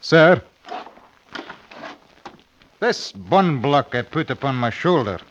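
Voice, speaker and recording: An actor playing a passionate, hot-headed young suitor in a melodramatic 1950s radio style. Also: male voice, medium pitch, sing-song, highs cut off. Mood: challenging. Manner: melodramatic, halting, drawn-out delivery. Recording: vintage radio with faint noise.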